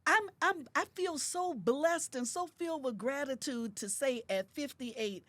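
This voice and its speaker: A woman's voice: high voice, measured pace, a bit bright, no echo. A woman in her fifties, a guest in a podcast studio, speaking in an emphatic, motivational way and repeating her affirmations like a preacher. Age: 50s. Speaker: guest, author. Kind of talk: motivational conversation.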